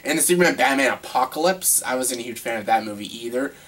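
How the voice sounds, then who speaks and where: Congested man rambling excitedly. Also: medium pitch, fast talker. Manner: excitable, rambling, repeats himself. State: congested with a cold. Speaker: vlogger ranking films. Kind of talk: casual top-ten video monologue.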